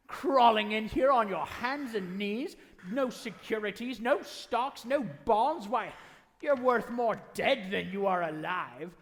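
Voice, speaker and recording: An actor playing a cruel, gloating old banker villain with a sneering, theatrical delivery in a radio play. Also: male voice, high-pitched, exaggerated voice. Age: older. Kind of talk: 1940s radio play acting. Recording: reverberant hall, live stage taping.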